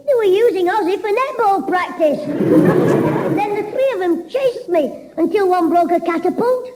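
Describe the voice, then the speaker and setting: An actor playing a cheeky, mischievous schoolboy in a 1960s radio sitcom, chirpy and giggling. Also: male voice, extremely high pitch, expressive, unhurried, darkish tone, some chatter behind, poor audio. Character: cheeky mischievous schoolboy, small, always joking. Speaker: title character of a radio comedy.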